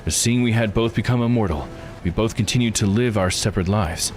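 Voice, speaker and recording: A man's voice: on the low side, brisk, darkish tone, faint music behind, natural voice. A man voicing a bitter, brooding, eight-hundred-year-old immortal lord in a visual novel game, delivered with grim, dramatic solemnity. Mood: bitter reminiscence.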